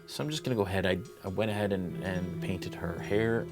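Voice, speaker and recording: Deep gravelly man, chatty painting host. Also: fairly quick, over music. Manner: relaxed, chatty, thinks aloud while painting. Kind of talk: live-stream painting tutorial.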